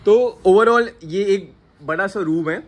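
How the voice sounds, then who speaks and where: Young male vlogger, higher pitch, playful and teasing, phone-mic selfie sound. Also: slow pace, a little hiss or hum. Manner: playful, joking, mixes Hindi and English. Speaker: vlogger host. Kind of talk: casual house-tour chat. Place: apartment rooms indoors. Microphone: phone at arm's length.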